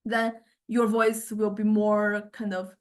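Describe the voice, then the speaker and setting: A woman lecturer giving an informal software demonstration, explaining conversationally and often hesitating as she searches for words. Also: medium pitch, completely flat delivery, slow pace. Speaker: university music technology lecturer.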